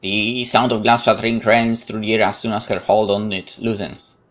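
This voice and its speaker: A man hosting a let's play, reading narration aloud in a measured, dramatic way with casual commentary. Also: fairly low pitch, little pitch movement, a bit bright.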